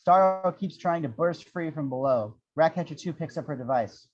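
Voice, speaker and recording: Higher-pitched man narrating, dull lo-fi sound. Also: expressive, moderate pace. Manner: irreverent, wry asides. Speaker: narrator reading screenplay action descriptions. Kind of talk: animated table-read narration of an action script. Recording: dull, lo-fi audio.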